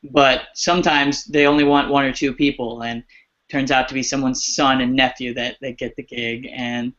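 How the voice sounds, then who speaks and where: A man's voice: medium pitch, flat delivery, moderate pace, fairly bright tone. A man in his twenties, an alumni guest, talking casually and rambling with restarts about his career on a video call. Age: 20s. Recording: muffled video-call audio.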